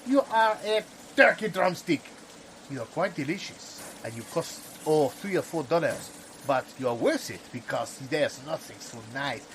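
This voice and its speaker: Male actor as theatrical insulter, higher male voice, emphatic and deliberate, improvised audio comedy with faint background noise. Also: very animated, poor audio. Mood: grandly insulting. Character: florid, theatrical man trading absurd food insults.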